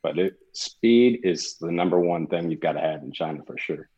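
A man with a very low, husky voice talks business in a relaxed podcast interview, speaking quickly and restarting his sentences as he goes. The sound is dull and lo-fi, like a remote line. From Europe. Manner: fast, conversational, frequent restarts. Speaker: guest executive.

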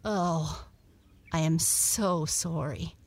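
A woman's voice: mid-range voice, very animated, takes it slowly, no echo, voice pushed up. A woman voicing a timid, tired young female cat in a children's storybook, polite and pleading. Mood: sad, meek.

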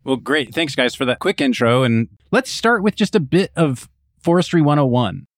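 A man's voice: mid-range voice, lively intonation, moderate pace, no echo, studio quality. A man hosting an interview, talking conversationally as he moderates a discussion. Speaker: podcast host and moderator.

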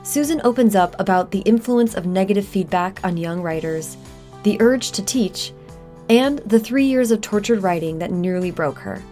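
A woman's voice: mid-range voice, expressive, normal speed, warm tone, faint music behind. A woman hosting a podcast interview in a chatty, enthusiastic manner. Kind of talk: scripted introduction and casual interview chat.

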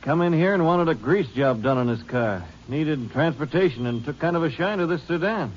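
A man's voice: fairly high pitch, expressive, moderate pace, lightly acted. A man playing an evasive, defensive used-car dealer in an old radio drama. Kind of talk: 1950s radio acting. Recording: dull radio recording with light hiss.

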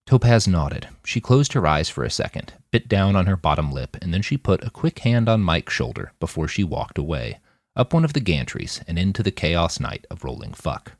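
A man reading a scripted story aloud as an expressive audiobook-style narrator. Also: low-pitched, normal speed, no echo, studio quality. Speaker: author reading own fiction podcast.

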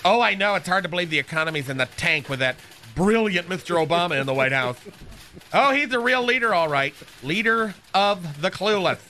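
A man's voice: high-pitched, lively intonation, a little fast, bright, forceful tone, a little hiss or hum. A man voicing a flamboyant, gossipy male receptionist in a radio comedy sketch, with a lilting, swooping delivery. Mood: catty. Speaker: show's receptionist character.

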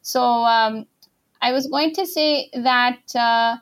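A woman's voice: fairly high pitch, slow pace, fairly bright tone, clean tone. A woman from Pakistan, a translator guest in an interview, who talks in a chatty, casual way full of fillers. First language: not Arabic. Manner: chatty, full of "like" and "you know".

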